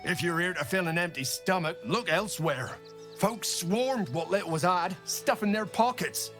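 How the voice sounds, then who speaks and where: High-pitched, bitter grumbling townsman. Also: moderate pace, a bit bright, faint music behind. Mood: bitter, disgusted. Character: bitter, disgusted scavenging townsman. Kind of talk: video game character acting.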